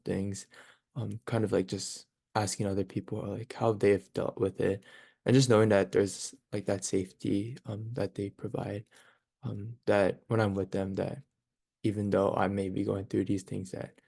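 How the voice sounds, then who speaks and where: A man's voice: fairly low pitch, creaky, mellow, dry recording, studio quality. A young man on a panel giving personal advice, hesitant and searching for words. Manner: hesitant, many fillers and restarts.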